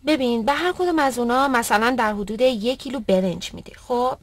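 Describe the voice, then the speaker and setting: A woman's voice: fairly high pitch, dry recording, studio quality, natural voice. A woman playing a pious, earnest mother instructing her son in an educational cartoon. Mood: instructive. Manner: didactic, earnest. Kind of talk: dubbed educational cartoon dialogue.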